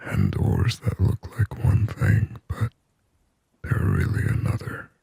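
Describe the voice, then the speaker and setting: A man voicing a shy, possessive sleep paralysis demon in an ASMR roleplay, in a halting, intimate, breathy whisper. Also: wildly swooping pitch, no echo, heavily acted. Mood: anxious musing. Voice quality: breathy, husky whisper with low gravelly creak. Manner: slow, halting, hushed, intimate.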